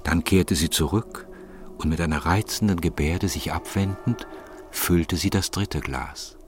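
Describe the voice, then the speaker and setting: A man reading scripted literary narration in a measured, formal manner. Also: deep voice, over music.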